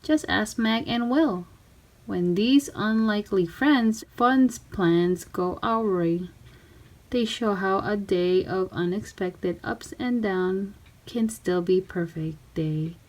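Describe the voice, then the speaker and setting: A woman's voice: mid-range voice, takes it slowly, darkish tone, low-quality recording. A woman reading a children's story aloud, expressively acting out characters and sound words. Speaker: storybook reader.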